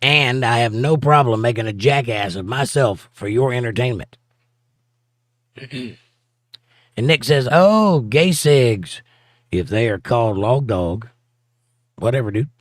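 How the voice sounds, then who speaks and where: A man's voice: mid-range voice, animated, unhurried, pauses often, no echo, clean studio sound. Laid-back Southern man reading chat. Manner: laid-back, teasing, chuckles often. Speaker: livestream host reading chat. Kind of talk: casual chat.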